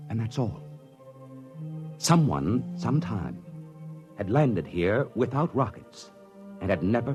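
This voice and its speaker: An actor narrating as a male astronaut in a 1950s radio drama, measured and dramatic. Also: fairly low pitch. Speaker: narrator in the story. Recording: old radio broadcast with music beneath.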